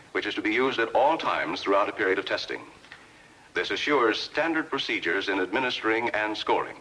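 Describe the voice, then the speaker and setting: A man with an American accent reads formal, scripted narration for a 1950s instructional film, measured and precisely enunciated. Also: on the low side, sharp tone, low-quality recording. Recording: vintage 1950s film soundtrack.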